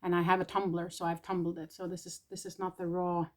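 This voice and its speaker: A woman talks through spiritual messages in a relaxed vlog. Her delivery is soft and hesitant, full of pauses, and her throat sounds a bit irritated. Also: fairly low pitch. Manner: soft, tentative, pausing often. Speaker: spiritual channeler.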